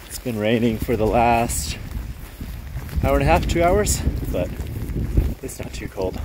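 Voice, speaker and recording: A man on a long-distance hike, talking to the camera outdoors about the trail, the weather and how his gear is holding up, in a relaxed, informative way. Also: mid-range voice, a little slow, background noise. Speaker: thru-hiker. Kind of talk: hiking vlog narration. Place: outdoors on a mountain trail in rain and wind. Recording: music playing under the voice. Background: background music.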